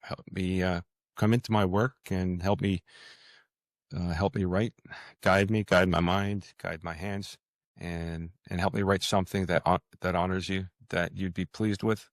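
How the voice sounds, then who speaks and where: Older guy, deep voice, bit halting n repeats words, earnest interview guest, dry close sound. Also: level tone, dry recording. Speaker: guest author.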